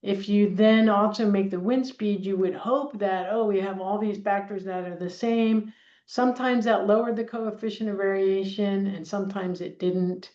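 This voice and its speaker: A woman's voice: medium pitch, steady tone, moderate pace, warm tone. A middle-aged woman, a research scientist, giving an informal conference presentation in a conversational, explanatory way. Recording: dull, roomy, echoing sound.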